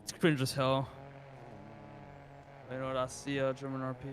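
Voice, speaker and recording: A man talking in an offhand, flat monotone during casual game chat, dropping swear words freely. His pitch sits in the middle with little movement. Faint noise hums behind him. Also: normal speed. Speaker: fellow player.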